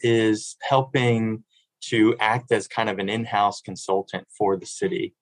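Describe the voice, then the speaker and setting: A man's voice: lowish voice, steady tone, a little slow, dry recording, rough recording. A man in his thirties from Miami, a city sustainability director answering interview questions in an earnest, fluent way with small restarts. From Miami, Florida.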